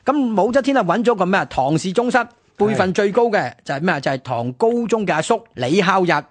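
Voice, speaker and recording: Fast-talking man with Hong Kong Cantonese. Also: sits high, lively intonation, no echo. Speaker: radio history programme host. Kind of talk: conversational history storytelling.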